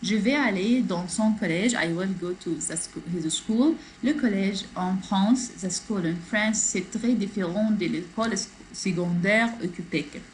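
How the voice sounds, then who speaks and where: A woman teaches French over a computer mic, going slowly and repeating phrases for her students. Her pitch sits in the middle, and she moves between French and English. A faint hum of noise runs behind her. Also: low-quality recording. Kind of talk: online class, reading a text and explaining it. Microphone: computer mic in a video class.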